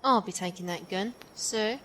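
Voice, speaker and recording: A woman's voice: medium pitch, normal speed, very noisy, close to an everyday voice. An actress playing a tough, confident female soldier with a wry manner in an audio drama. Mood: cocky. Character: tough, cocky female soldier, threatening and loyal.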